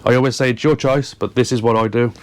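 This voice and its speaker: A man's voice: medium pitch, fairly even intonation, fast, a little hiss or hum. Earnest man explaining casually. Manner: earnest, emphatic, repeats words for stress. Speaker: fishing and boating vlogger. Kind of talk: casual explainer, talking to viewers.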